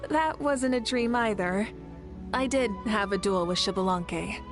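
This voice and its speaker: A woman's voice: medium pitch, a little slow, music in the background, natural voice. A woman voicing a proud, composed and gracious warrior ruler in a video game, with stately, assured speech. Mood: calm assurance.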